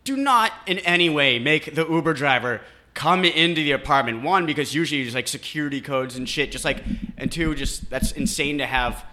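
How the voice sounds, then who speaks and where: A man's voice: on the high side, lively intonation, fairly quick, bright, forceful tone, slight background noise. A man in his twenties co-hosting a podcast, rambling and joking in casual chat. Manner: rambling, joking, many restarts. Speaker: podcast co-host.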